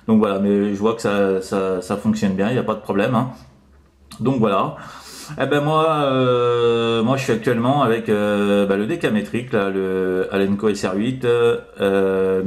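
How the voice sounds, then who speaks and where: Guy with a deepish voice on ham radio, stop and start talking, lots of repeats, roomy sound. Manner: halting, repetitive, punctuated with verbal tics. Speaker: amateur radio operator. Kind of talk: casual radio chat. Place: indoors in an apartment by a window.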